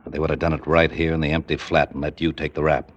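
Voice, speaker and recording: An actor playing a tough, gruff American police detective with blunt, slangy delivery in 1940s radio style. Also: male voice, very deep voice, level tone, fast talker, muffled recording, very noisy. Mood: gruff, relenting. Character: tough, gruff male police detective sergeant, hard-boiled. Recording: vintage radio drama with faint music.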